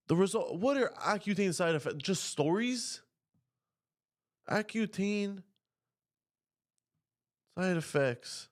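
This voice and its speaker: A young man from Canada with a nasal, congested voice, talking casually and profanely on his podcast, his pitch jumping up when he rants. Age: young adult. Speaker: podcast host. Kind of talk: casual rambling monologue. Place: home, on camera.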